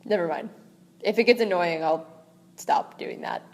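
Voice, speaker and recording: A woman's voice: lowish voice, moderate pace, long reverb tail. A woman reading a novel aloud as an amateur, chatty and casual, with fillers and asides. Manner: chatty, with frequent fillers and rereads. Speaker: amateur audiobook reader.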